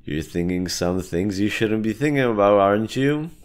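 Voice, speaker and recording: A man acts out a tiny talking parasite with a deep, low voice. The creature is snarky and smug. It speaks in a casual, teasing, conversational way, as in an audio roleplay aimed straight at the listener. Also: lively intonation, moderate pace, clear, resonant tone, lightly acted. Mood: teasing, incredulous.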